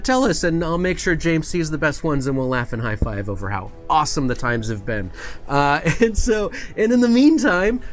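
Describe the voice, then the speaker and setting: A man's voice: fairly high pitch, a bit bright. A man hosting a livestream in a casual chat style, with a chummy, informal way of addressing viewers and his co-host.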